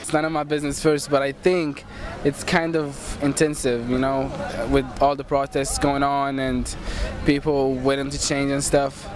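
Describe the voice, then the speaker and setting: A man's voice: mid-range voice, little pitch movement, moderate pace, few pauses, crowd noise. A young man from Morocco, a student, giving rambling, hedging street interview answers outdoors.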